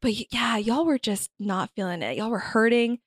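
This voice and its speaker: Giggly high-voiced young woman teasing. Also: no echo, studio quality. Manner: teasing, giggly, quick to jump in. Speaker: podcast co-host. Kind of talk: casual chat.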